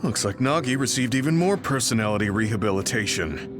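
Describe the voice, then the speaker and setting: A man's voice: mid-range voice, animated, brisk, natural voice. A man playing a practical, level-headed squadmate in an anime game, matter-of-fact in delivery. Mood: serious, practical. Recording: game music behind dialogue.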